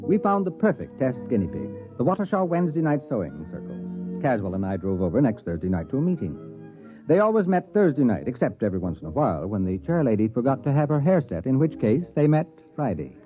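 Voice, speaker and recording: Actor as sardonic man of academia, narrator, lively swinging intonation, tinny 1950s radio drama. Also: mid-range voice, fast, close to an everyday voice. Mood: scornful, amused. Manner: sardonic, wry. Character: wry academic man recounting his social experiment. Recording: tinny old radio recording, faint music.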